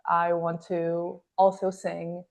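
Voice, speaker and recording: Low, gravelly-edged woman from Venezuela. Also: completely flat delivery, slow. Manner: earnest storytelling, fillers like "like". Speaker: singer guest. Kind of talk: casual interview.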